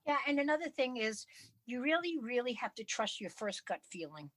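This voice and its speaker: A woman's voice: a little high, big pitch swings, a little fast, a bit bright, no room sound, studio quality. A woman co-hosting a casual podcast, easygoing and agreeable, emphatic when giving advice. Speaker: podcast co-host.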